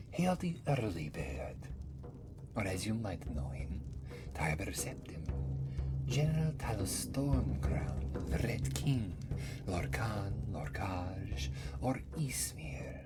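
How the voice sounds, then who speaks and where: A man voicing a mysterious, wry old cat-man, rambling in a breathy half-whisper for an ASMR fantasy roleplay. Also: fairly low pitch, sing-song. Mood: grave, storytelling. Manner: rambling, third-person speech, theatrical pauses. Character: mysterious old male Khajiit cat-man wanderer, wry, world-weary, teasing. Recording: music behind the voice. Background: prominent background music.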